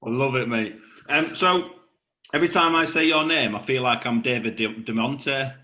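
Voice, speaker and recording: A man's voice: medium pitch, normal speed, bright, forceful tone, highs cut off. A man chatting informally and matily as an interviewer. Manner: matey, informal.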